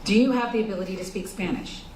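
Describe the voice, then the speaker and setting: A woman prosecutor questioning a witness in court, polite, formal and measured. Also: mid-range voice. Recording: echoey courtroom, distant mic, noisy.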